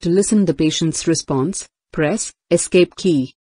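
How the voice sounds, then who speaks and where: A woman's voice: lowish voice, measured pace, warm tone, husky, no room sound. A woman giving a formal, instructional scripted product demo narration. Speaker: product demonstrator.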